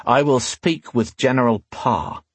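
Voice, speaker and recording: A man's voice: mid-range voice, expressive, moderate pace, husky, no room sound, voice pushed up. A man playing an intense, earnest young hero in a fantasy audiobook, speaking with emotion and resolve. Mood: resolute. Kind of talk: fantasy audiobook character acting.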